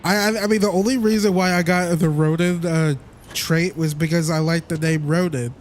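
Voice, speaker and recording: A man's voice: on the high side, steady tone, normal speed, slight background noise. A man livestreaming a video game with casual, rambling, slangy commentary, often repeating himself as he goes.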